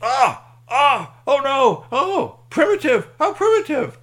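Shrieky, whooping excitable little alien. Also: male voice, very high-pitched, slow pace, pitched above the performer's own voice, exaggerated voice. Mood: alarmed amazement. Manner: whooping, cooing, exclaiming in short bursts. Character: excitable tentacled alien space traveler, childlike, startled, humming.

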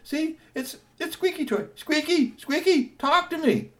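A man's voice: very high voice, animated, unhurried, fairly bright tone, voice pushed up, full character voice. A man voicing an eager male character urgently calling to his robot.

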